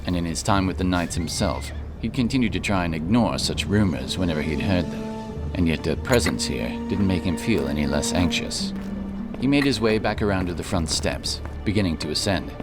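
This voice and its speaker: A man's voice: low voice, expressive, brisk, loud music behind the voice. A man reading scripted narration for a fantasy audio drama.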